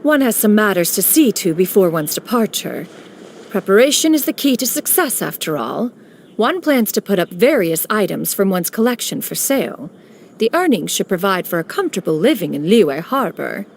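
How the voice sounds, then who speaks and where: A woman's voice: mid-range voice, sing-song, normal speed, slight background noise. A woman voicing an aloof ancient female immortal master who speaks in a stately, archaic way, referring to herself as "one", in a game cutscene. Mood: composed. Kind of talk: video game cutscene dialogue. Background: faint game music.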